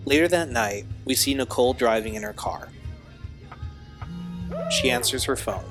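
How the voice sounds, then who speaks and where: A man's voice: medium pitch, expressive, normal speed. A man giving scripted narration for an audio drama. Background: music bed.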